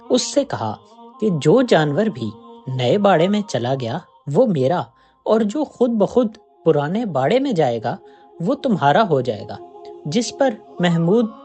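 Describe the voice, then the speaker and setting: A narrator telling a scripted moral story in an expressive, audiobook-like way. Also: mid-range voice. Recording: music behind the voice. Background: background music.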